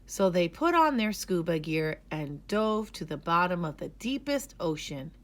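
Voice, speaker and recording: A woman reading a children's storybook aloud, with expressive, measured, careful enunciation. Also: on the low side. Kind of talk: scripted read-aloud narration.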